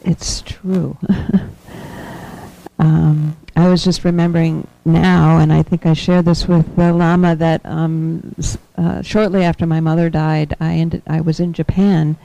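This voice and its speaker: A soft-spoken woman giving a gentle, measured talk as a guest teacher in a temple hall. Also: low-pitched, little pitch movement, vocal fry. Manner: gentle, measured, with small laughs. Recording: dull lo-fi recording.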